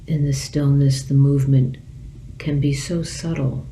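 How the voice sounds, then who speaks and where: Slow, low-voiced woman guiding meditation. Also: little pitch movement, slight background noise, rough recording. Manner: slow, gentle, with long pauses. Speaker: meditation teacher. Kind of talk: guided meditation.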